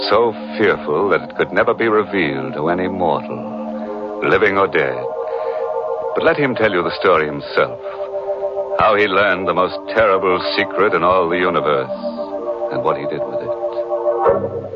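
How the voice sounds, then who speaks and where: A man putting on a ghoulish radio host with a deep voice, theatrical and mock-sinister, savoring the macabre. The 1940s broadcast sounds muffled, with music clearly behind him. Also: animated, normal speed, pauses often. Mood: ominous. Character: ghoulish horror show host, gleefully macabre and punning. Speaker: host and announcer of a mystery show. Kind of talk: 1940s radio horror hosting.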